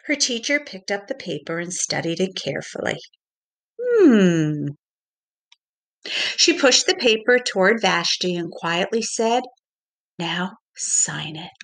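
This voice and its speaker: A middle-aged woman reading a picture book aloud to young children in a deliberate, expressive way, like a teacher. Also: mid-range voice. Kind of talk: children's storybook read-aloud.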